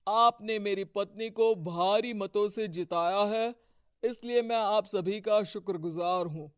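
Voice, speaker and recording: A man voices a domineering small-time political husband in a cartoon moral tale. His voice is notably high and clean, and he speaks unhurriedly, with bossy self-importance. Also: measured pace, clear, resonant tone, no echo, studio quality. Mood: pompous. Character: domineering corrupt husband, local strongman who runs his wife's office. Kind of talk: acted dialogue in an animated moral story.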